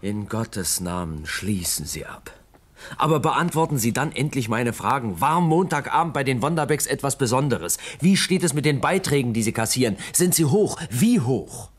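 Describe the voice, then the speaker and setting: An actor playing a persistent, exasperated police detective in a radio crime play, probing and cutting in with questions. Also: male voice, mid-range voice, big pitch swings, moderate pace, no room sound. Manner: probing, interrupting. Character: persistent, exasperated male police detective, father of a small child.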